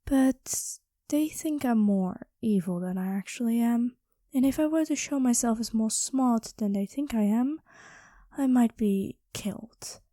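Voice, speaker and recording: A woman's voice: fairly high pitch, measured pace, warm tone, no echo, studio quality, close to an everyday voice. A woman improvising an ASMR roleplay as a playful, possessive dragon queen, coy and intimate, often dropping into whispers. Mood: playful, confiding.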